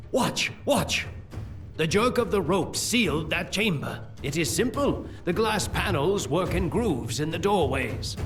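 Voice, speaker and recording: An actor playing a scheming, gloating villainous priest in an audio drama, with theatrical, drawn-out exclamations. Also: male voice, sits high, distant mic.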